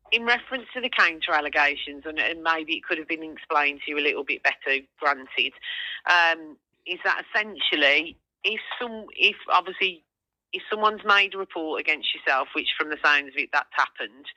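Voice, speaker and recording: A woman with a very bright, crisp-edged voice speaks over a dry telephone line. She is an official conducting a procedural interview, probing politely and methodically to get each point clear. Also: low-pitched, dry recording. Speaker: police professional standards officer. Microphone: phone call.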